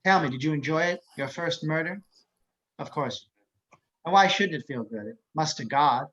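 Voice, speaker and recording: A cultured, menacing male psychiatrist killer, acted by a man in a drama read over a video call. His voice sits a little high, and he taunts in measured phrases with theatrical pauses. The sound is dull and lo-fi. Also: fairly bright tone, natural voice. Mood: taunting.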